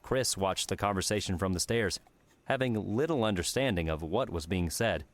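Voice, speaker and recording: A man's voice: sits low, moderate pace. A man reading scripted story narration as an audiobook narrator.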